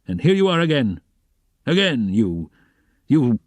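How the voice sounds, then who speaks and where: A bullying officer rants at the top of his voice, as a man puts him on. His pitch jumps around with rage. The recording is dry. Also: big pitch swings, measured pace, no room sound. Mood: furious. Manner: shouting, scolding. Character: enraged pompous male police officer, bullying.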